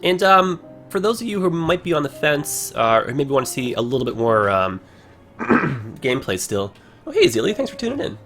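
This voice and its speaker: A man chatting through his livestream in a loose, rambling way, full of ums and uhs. His voice is hoarse and worn down, with a gravelly rasp at the bottom of a middling pitch. Faint music sits under him. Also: moderate pace. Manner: rambling, relaxed, many fillers. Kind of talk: casual gameplay commentary.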